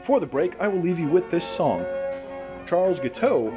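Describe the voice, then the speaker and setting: A man's voice: sits high, sing-song, normal speed, music in the background. A man playing an earnest, chatty small-town radio host in a post-apocalyptic audio drama, rambling casually with hesitant asides. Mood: announcing.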